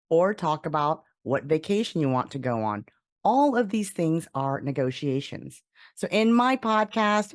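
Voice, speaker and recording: A woman's voice: on the low side, no echo, clean studio sound. A woman hosting a negotiation podcast, asking questions in a chatty way. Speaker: podcast host.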